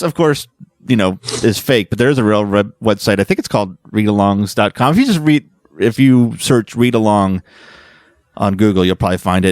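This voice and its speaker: A man's voice: lowish voice, dry recording, clean studio sound. A man co-hosting a podcast, talking casually and informally. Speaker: podcast co-host. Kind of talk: casual chat.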